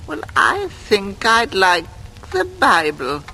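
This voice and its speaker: A woman's voice: mid-range voice, wildly swooping pitch, slow pace, sharp tone, exaggerated voice. An actress playing a fussy, doting old invalid woman, plaintive and coaxing, in a 1940s radio drama. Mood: fussy, cosy. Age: older. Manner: plaintive, coaxing, self-pitying. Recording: noisy vintage radio recording.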